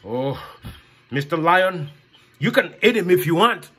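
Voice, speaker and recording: A man's voice: on the high side, expressive, a little slow, pushed and bright, slightly rough, pitched below the performer's own voice, exaggerated voice. A man storyteller voicing a cow who coolly sides with the lion. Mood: offhand. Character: indifferent cow siding with the lion.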